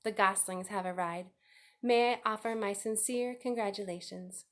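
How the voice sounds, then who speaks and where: A woman voicing a wise, gracious female spider from a storybook, with a formal, measured and wordy manner. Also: medium pitch, fairly even intonation, fairly bright tone, natural voice.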